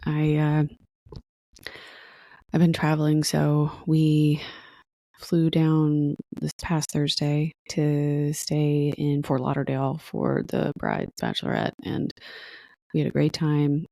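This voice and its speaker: A tired, hoarse woman hosting her podcast, chatting casually and rambling with fillers and restarts. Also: sits low, slow, warm tone, dry recording. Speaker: podcast host. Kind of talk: casual solo podcast chat.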